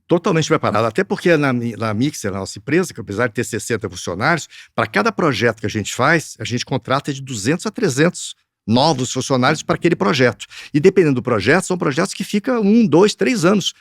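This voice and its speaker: A man's voice: mid-range voice, lively intonation, fast, hardly any pauses, dry recording, studio quality. A man with a Brazilian Portuguese accent, a film producer answering interview questions earnestly, with frequent restarts and fillers. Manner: hesitant, restarts a lot, earnest.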